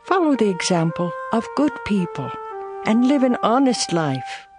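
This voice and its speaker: A woman's voice: medium pitch, very animated, a little slow. An actress playing Wisdom as a stern woman crying warnings in a solemn, declamatory scripture reading. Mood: solemn, instructive. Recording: music behind the voice.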